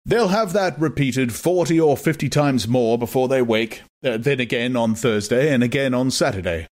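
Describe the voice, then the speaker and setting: A man's voice: medium pitch, moderate pace, pitched above the performer's own voice, natural voice. A man voicing a pompous, domineering director in an audiobook, lecturing his students emphatically. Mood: pompous, instructive. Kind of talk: dramatized audiobook character.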